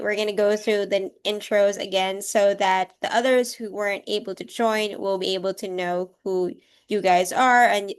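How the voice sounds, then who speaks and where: A woman hosts a video call and walks a group through introductions in a relaxed, friendly way. Her voice is smooth and clean, in a middle pitch. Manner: casual, friendly, leading introductions. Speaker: program coordinator. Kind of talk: online group meeting.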